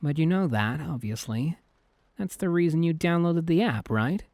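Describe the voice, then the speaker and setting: A young male Cupid, acted by a man, talks like an exasperated friend who is secretly smitten. His delivery is conversational and emphatic, with lively swings in pitch. It is a dry recording in the style of an ASMR boyfriend roleplay. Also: moderate pace, no echo. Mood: casual, opinionated. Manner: conversational, exasperated, emphatic, hesitant when flustered.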